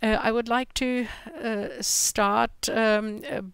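A middle-aged man with an Austrian accent gives a conference presentation in English on a large indoor stage. He speaks haltingly, often searching for words. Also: extremely high pitch, little pitch movement, creaky, a bit bright, clean tone, no echo. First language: German. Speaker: company product executive presenting at a conference.

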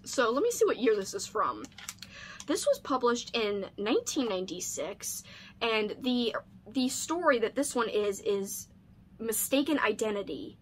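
A woman in her thirties with a fairly high voice talks to the camera like an excited friend. She gushes in a casual, animated way, and there is faint noise behind her. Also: a little slow. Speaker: book channel host. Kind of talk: casual chat, unboxing vlog. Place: small home room lined with books.

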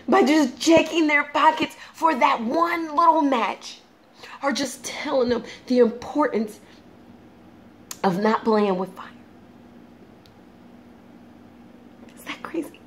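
A woman performing a dramatic monologue as a grieving, unhinged mother tormented by guilt, halting and anguished. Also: sits high, animated, slight background noise. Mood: bitter, unhinged.

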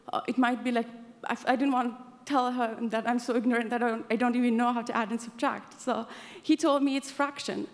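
A young woman from Afghanistan giving an earnest personal speech at an awards event, halting often with fillers. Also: on the high side. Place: large event hall. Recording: hall reverb from a stage mic.

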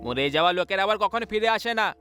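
A man's voice: high-pitched, expressive, fast talker, sharp tone. A ruthless, greedy elder brother , acted by a man, cold and domineering. He speaks mockingly in a horror drama, over a music bed. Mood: dismissive. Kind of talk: dramatized horror story acting. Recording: music behind the voice.

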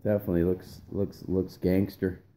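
A man's voice: deep voice, little pitch movement, mellow. A middle-aged man chatting casually and joking easily with a friend on a fishing trip. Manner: easygoing, joking, slow drawl. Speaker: fishing companion. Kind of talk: casual chat. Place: indoors at a themed venue, then on a boat.

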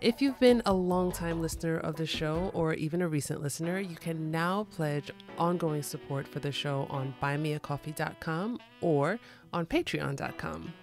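A woman's voice: low voice, moderate pace, hardly any pauses, darkish tone, soft background music. A woman from New York City hosting a podcast, reading her intro and then interviewing her guest with upbeat enthusiasm. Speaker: podcast host.

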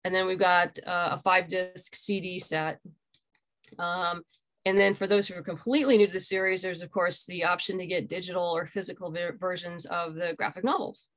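A middle-aged woman with a slightly low voice talks as a guest in a casual interview. She explains in an informal, conversational way, speaking from home over a video call. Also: fairly even intonation, normal speed, a bit bright. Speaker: interview guest, graphic novel creator. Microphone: video call computer microphone.

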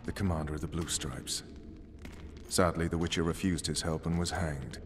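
A man's voice: very deep voice, fairly even intonation, moderate pace. A man playing a stern, commanding special forces interrogator in a video game, blunt and authoritative. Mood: measured, menacing. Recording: long hall reverb, faint ambient noise.

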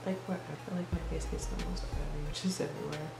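A young woman in her twenties with a deep, mumbling voice, chatting casually while doing her makeup. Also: fairly even intonation, quick pace, vocal fry. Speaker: guest vlogger. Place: small indoor room. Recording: roomy sound with music behind.

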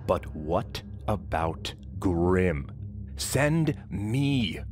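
A man playing a brooding, defiant dark warrior in a fantasy audio drama, deliberate and scornful. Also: low-pitched, big pitch swings, soft background music, full character voice. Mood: grim, eager.

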